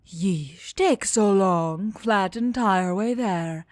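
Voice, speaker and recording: A woman voicing an impatient gorgon co-pilot in an audiobook, gruff, with clipped, broken phrasing. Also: medium pitch, drawn-out words, no echo. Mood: grumbling.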